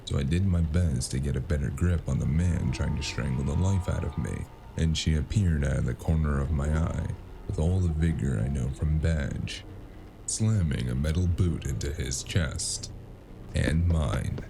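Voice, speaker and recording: A man playing a weary, wry noir detective in an audio drama, speaking tersely with a gravelly voice. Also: extremely low pitch, normal speed, noisy background. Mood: tense, grim. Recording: music under the voice.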